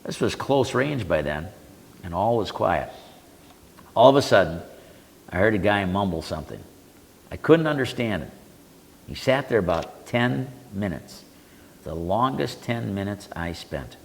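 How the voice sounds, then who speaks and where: An older veteran bluntly recounting war memories, with salty language. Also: male voice, lowish voice, very animated, unhurried, creaky, long pauses, slight background noise. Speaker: veteran panelist. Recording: reverberant community TV studio.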